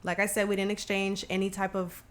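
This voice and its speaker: A young woman co-hosting a relaxed podcast, her voice slightly nasal from allergies. She talks in a lively way and laughs often. Her pitch sits in the middle for a woman. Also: a little fast. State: recovering from allergies. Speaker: podcast co-host. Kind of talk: casual chat.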